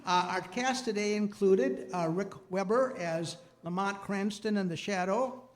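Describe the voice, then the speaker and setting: A man hosting a community show, informally reading thanks and credits in a halting, hesitant way. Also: sits high, in a large hall. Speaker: host of a community radio-play show. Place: hall with a live audience.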